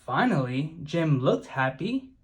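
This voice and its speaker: A narrator reads a children's story aloud. The delivery is very slow and measured, with a middling pitch and an expressive storytelling style. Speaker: storybook reader.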